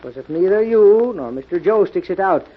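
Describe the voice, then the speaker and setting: An actor playing a folksy, deferential but sly manservant in an old radio mystery, hesitant and insinuating. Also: male voice, high-pitched, animated, normal speed. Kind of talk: 1940s radio mystery acting. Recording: muffled, noisy old-time radio recording.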